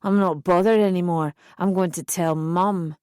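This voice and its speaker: A woman's voice: lowish voice, fairly even intonation, moderate pace, a little mellow, smooth, no room sound, studio quality, lightly acted. A woman voicing a drunk young man in an audiobook, slurring as he insists and pleads. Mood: slurred, insistent.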